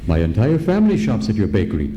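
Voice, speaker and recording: A man's voice: lowish voice, wildly swooping pitch, fast talker, dark tone. Guy doing a pompous old man, sputtery and indignant, voice all over the place, radio comedy, noisy live stage recording. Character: fussy, indignant elderly man with a huge family. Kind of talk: old-time radio comedy monologue on the telephone.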